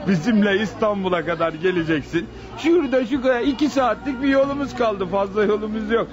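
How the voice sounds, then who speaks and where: An actor playing a folksy bus attendant who chuckles and calls passengers brother in a radio comedy. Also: male voice, sits high, moderate pace, natural voice. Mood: amused. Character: chatty young bus attendant, easygoing. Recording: muffled old radio recording with hiss.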